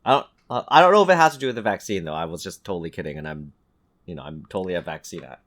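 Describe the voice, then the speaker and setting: A man telling stories in casual, animated podcast banter as a host, with some swearing. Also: low-pitched, racing. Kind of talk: casual chat, storytelling.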